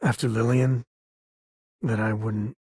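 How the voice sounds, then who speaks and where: A man's voice: mid-range voice, flat delivery, slow, darkish tone, breathy edge, no echo. An actor playing an easygoing, wry space-frontier ranger and protective father in a video game, with folksy, informal speech. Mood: earnest.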